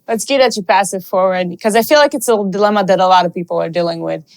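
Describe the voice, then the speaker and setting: A woman's voice: mid-range voice, fast talker. Woman host on a podcast, curious, asking her guest questions. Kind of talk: interview podcast hosting.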